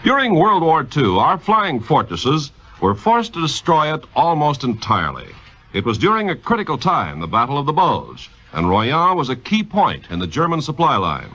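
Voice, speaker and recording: Male 1950s radio announcer: formal, emphatic, crisp forward tone, lively inflection, scripted read. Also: a little high, moderate pace. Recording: muffled old radio recording.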